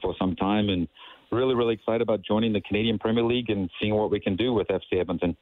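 South African coach, low voice, tinny dry phone line, upbeat phone interview. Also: male voice, fairly even intonation, fast talker, no room sound. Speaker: soccer coach. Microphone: telephone.

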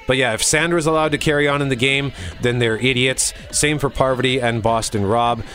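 A man co-hosting an entertainment show, mixing casual, opinionated chat with presenter-like links in a measured manner. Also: medium pitch, steady tone, fairly bright tone. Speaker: co-host of an entertainment review show.

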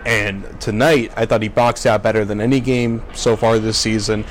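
A man's voice: lowish voice, little pitch movement, moderate pace, low-quality recording. A man hosting a basketball show, talking conversationally and steering the discussion along. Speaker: sports podcast host.

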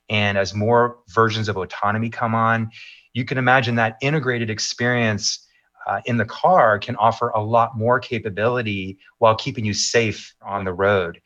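A middle-aged man answering interview questions as a maps executive, in measured, polished talking points with occasional fillers. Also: fairly low pitch, fairly bright tone, highs cut off.

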